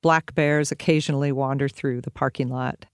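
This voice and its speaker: Female audiobook reader, articulate and measured, crisp tone, dry recording. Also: extremely low pitch, steady tone, dry recording. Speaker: teacher and author reading own audiobook. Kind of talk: scripted audiobook narration.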